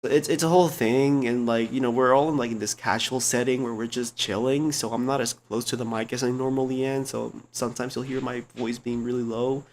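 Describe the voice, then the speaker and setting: A young man streamer with a low speaking voice, talking in a rambling, slangy way full of restarts and fillers, close to a desk mic at home. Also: fairly even intonation, fairly quick, hardly any pauses, a little hiss or hum. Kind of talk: casual chat. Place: home computer room.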